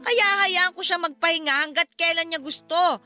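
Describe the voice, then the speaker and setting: A woman's voice: extremely high pitch, moderate pace, sharp tone, faint music behind, full character voice. A woman playing a defensive, sassy middle-aged Filipino mother who protects her son in a radio drama. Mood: firm, defiant.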